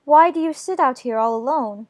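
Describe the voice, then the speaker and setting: A man voicing a polite, earnest seven-year-old girl who speaks gently. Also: very high-pitched, moderate pace, no room sound, clean studio sound, voice pushed up. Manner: gentle, polite, a bit anxious.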